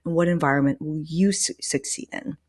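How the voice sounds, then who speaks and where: Low-key dry recording, woman podcast host. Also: fairly quick, darkish tone, dry recording. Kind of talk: conversational podcast interview. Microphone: microphone plugged into a laptop.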